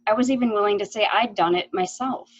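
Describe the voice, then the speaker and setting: A woman's voice: mid-range voice, fast talker, lo-fi sound, natural voice. A woman playing a guilt-ridden yet decisive lady of the house in an amateur mystery play, speaking earnestly. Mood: regretful, troubled. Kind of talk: amateur murder mystery radio play acting.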